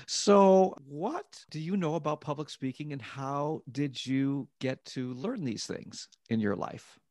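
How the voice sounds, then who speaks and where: A man's voice: a little high, takes it slowly, a little mellow, no echo, clean studio sound. A man hosting a podcast interview, talking in an upbeat, conversational way. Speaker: podcast host.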